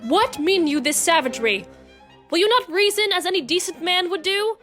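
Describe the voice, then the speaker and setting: An actress playing a dismayed, reproving wife in a theatrical period audio drama. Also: female voice, very high-pitched, lively intonation, moderate pace, fairly bright tone, faint music behind.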